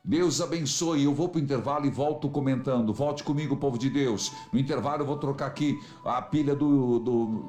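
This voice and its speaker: Emphatic Brazilian priest on call-in radio. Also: male voice, normal speed, hardly any pauses, a little mellow, roomy sound, faint music behind. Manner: emphatic, fatherly, exhorting with pitch leaps.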